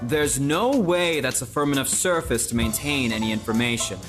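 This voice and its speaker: A man's voice: mid-range voice, moderate pace, music in the background, lightly acted. A man playing a sarcastic, condescending prosecutor in an audio comedy drama. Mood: scornful. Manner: condescending, emphatic. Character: haughty, sarcastic rival prosecutor, quick to take offence.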